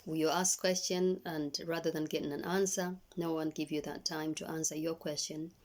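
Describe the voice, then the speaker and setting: A woman's voice: low-pitched, a little mellow, a little hiss or hum. A middle-aged Tanzanian woman whose first language is Swahili, giving earnest, hesitant parenting advice in a personal video message.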